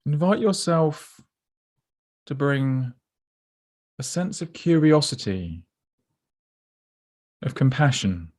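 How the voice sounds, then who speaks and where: A man leading a guided body scan meditation in a gentle, unhurried, instructive way, leaving pauses between phrases. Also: on the high side, very animated.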